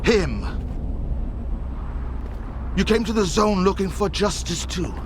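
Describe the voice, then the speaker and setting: An actor playing a weary, fanatical faction leader in a video game, brooding and theatrical, with halting, dramatic delivery. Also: male voice, sits high, expressive, noisy background. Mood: bitter, insistent. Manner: theatrical, halting, dramatic storytelling with sudden outbursts. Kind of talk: cinematic video game acting.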